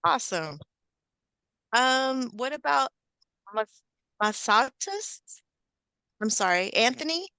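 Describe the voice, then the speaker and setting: A woman's voice: fairly high pitch, animated, slow, long pauses, pushed and bright, smooth, no room sound. A woman hosting an online session over a video call, upbeat and encouraging, with lots of filler words. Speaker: host and facilitator.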